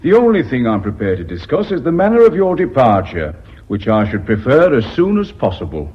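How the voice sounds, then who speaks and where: A hard-bitten, scornful businessman in a radio play. The voice is rough and husky, with big swings in pitch. It rings in a long reverb. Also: wildly swooping pitch, fairly quick. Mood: brusque, domineering. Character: blunt, contemptuous, hard-bitten Northern businessman. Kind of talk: British radio drama acting. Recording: dull, reverberant radio drama recording.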